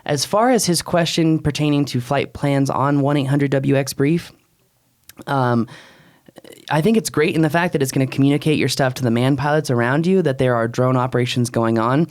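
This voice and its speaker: A man's voice: mid-range voice, normal speed. A man in his thirties talking casually and bluntly as a podcast co-host, close on a studio mic. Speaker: podcast co-host and drone expert. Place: small wood-panelled podcast room. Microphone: close studio mic on boom arm.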